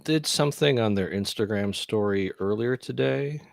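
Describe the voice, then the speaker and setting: A man's voice: lowish voice, moderate pace, creaky. A middle-aged man co-hosting a podcast, chatting casually and chipping in with jokey remarks. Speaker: podcast co-host. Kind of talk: casual chat. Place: room at home.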